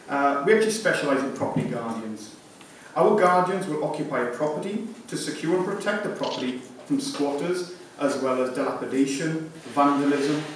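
A man in his thirties reading a formal, scripted award presentation speech as a sponsor. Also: medium pitch. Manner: formal, reading from prepared notes. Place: conference room, indoors. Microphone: lectern mic, picked up by distant camera. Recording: echoey room from far off, hissy background noise.

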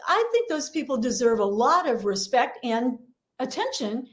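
A woman's voice: high voice, moderate pace. A middle-aged woman, a leadership coach, talking energetically as a podcast guest. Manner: energetic, acts out quoted voices. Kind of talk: podcast interview.

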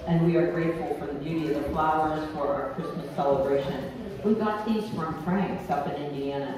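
Older female pastor, unhurried announcements, distant pulpit mic in a reverberant sanctuary with room noise. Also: low-pitched. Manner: homely, unhurried, with frequent ums. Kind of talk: church announcements and liturgy. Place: church sanctuary. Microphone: pulpit mic from a far camera. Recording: echoey church from far off, noisy.

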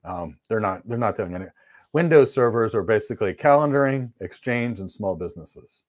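A man's voice: on the low side, lively intonation, brisk. A middle-aged man teaching networking on a live stream, answering viewer questions in a blunt, emphatic, matter-of-fact way. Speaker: networking instructor. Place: indoor studio with virtual backdrop.

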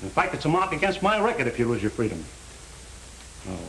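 An actor playing a stern but fair middle-aged parole officer in a 1930s crime film. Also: male voice, on the high side, big pitch swings, a little fast, a bit bright. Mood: firm, lenient. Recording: roomy, hissy old film soundtrack.

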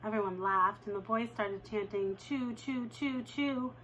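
Woman reading a kids book at home, expressive, pitch jumps up for dialogue, close camera mic. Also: measured pace, slight background noise, rough recording. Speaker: reader of a children's novel. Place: living room at home, indoors.

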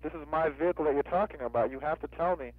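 Male rental clerk, rapid speech, insistent questions, thin telephone line. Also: on the high side, dry recording. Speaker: car rental office agent. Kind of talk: customer service phone call. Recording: tinny, narrow-band phone line.

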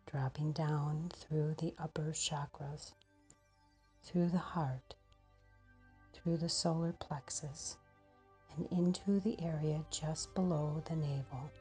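Low, very breathy woman guiding meditation. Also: warm tone. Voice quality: breathy, husky, often near a whisper. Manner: unhurried, pausing between short phrases. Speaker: meditation guide. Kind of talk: slow guided meditation.

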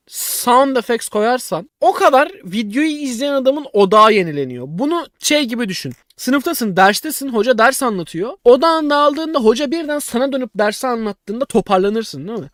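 A man's voice: very high voice, lively intonation, normal speed, hardly any pauses, fairly bright tone, dry recording, studio quality. A man speaking casually as a streamer, blunt and slangy, repeating himself for emphasis while commenting on a video.

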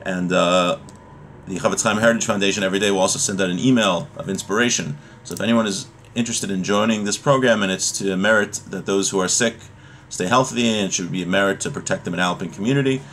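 Male religious teacher, thirties, slightly low voice, informal and halting explanatory delivery, home recording with faint noise. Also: a bit bright. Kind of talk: informal Torah lesson, reading a text and explaining it. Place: small home room, indoors.

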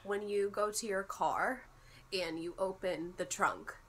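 A woman's voice: mid-range voice, a little slow, a crowd in the background. A young woman with a congested voice from a cold, chatting and explaining in a casual vlog. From United States. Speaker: vlogger. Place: bedroom at home.

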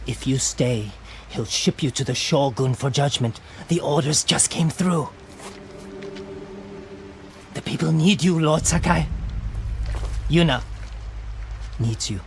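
A man's voice: a little high, slow pace, gravelly, long pauses. A man playing a samurai's loyal friend in a video game, plain-spoken and urgent. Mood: urgent persuasion. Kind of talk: dramatic video game acting. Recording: faint background ambient noise.

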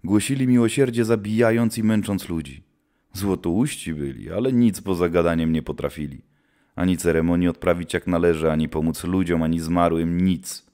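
Male actor as seasoned witch hunter: low, solemn, grim, archaic storytelling for audio drama. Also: normal speed, warm tone, lightly acted. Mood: grim, reminiscing.

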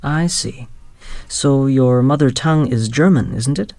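A man reading scripted English listening dialogues, spelling names out slowly and clearly. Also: mid-range voice, darkish tone, no echo. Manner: slow, clear, careful enunciation. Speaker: voice actor in listening exercise.

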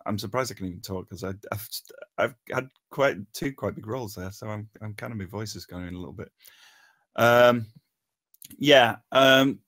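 A man with a fairly low voice gives an informal critique. He talks haltingly, feeling for his words with plenty of ums and pauses. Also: fairly bright tone. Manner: hesitant, halting, frequent long pauses and ums. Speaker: table read participant giving script feedback. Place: remote online voice call.

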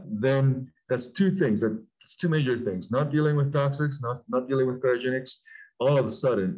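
A middle-aged man from Peru speaks with a somewhat low voice over a video call. He talks haltingly, full of fillers and restarts, then pushes ahead with sudden animated emphasis. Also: low-quality recording. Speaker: aerospace company CEO, guest. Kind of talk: casual interview talk.

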